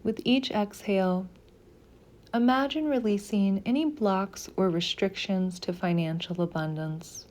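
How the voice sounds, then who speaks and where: A woman leading a guided meditation as a scripted practice, speaking softly and gently in deliberate phrases with pauses. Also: mid-range voice.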